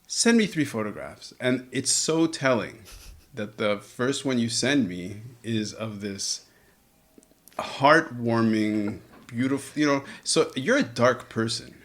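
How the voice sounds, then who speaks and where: A man's voice: mid-range voice, lively intonation, slow pace. A man hosting an interview in a guest's home, talking casually with playful teasing and frequent laughter. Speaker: podcast host.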